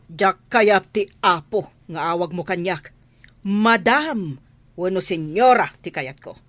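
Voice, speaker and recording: A woman's voice: lowish voice, takes it slowly, a bit bright, no room sound. A middle-aged woman playing a haughty, bossy rich mistress in an Ilocano-accented radio drama, with an imperious manner.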